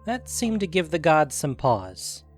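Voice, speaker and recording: A man's voice: fairly high pitch, sing-song, measured pace, soft background music. A man narrating a scripted fantasy story as the narrator of an audio production.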